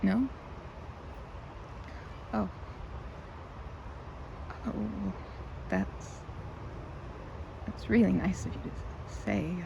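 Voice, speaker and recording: Girl acting shy flustered young woman in asmr roleplay, slow, stammers a lot, noisy ambience behind her. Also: medium pitch, sing-song, dull audio, exaggerated voice. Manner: stammering, hesitant, self-effacing, rambling. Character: timid, flustered young woman, sweet park regular. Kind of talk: ASMR roleplay, one-sided conversation. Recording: clearly noisy outdoor ambience.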